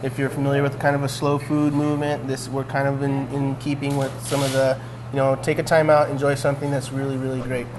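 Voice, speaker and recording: A man's voice: medium pitch, monotone, fast talker, noisy background. A man casually explaining his work as a coffee shop owner. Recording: clear background ambience.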